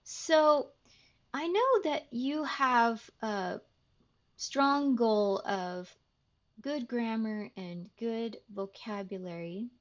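A woman hosting an English-learning podcast, speaking slowly and clearly like a teacher interviewing a learner. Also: fairly high pitch. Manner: slow, carefully enunciated teacher talk.

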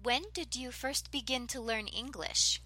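A woman hosting an English learning podcast, speaking in a gentle, measured and encouraging way as she introduces and interviews a guest. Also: on the high side, breathy edge. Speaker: podcast host. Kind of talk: scripted intro and interview questions.

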